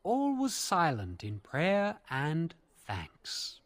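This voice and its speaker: A devout preacher of a fantasy game, reciting scripture in a fairly high male voice. The delivery is slow and reverent, with expressive rises and falls. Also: heavily acted. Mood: solemn, reverent. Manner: measured, reverent declaiming.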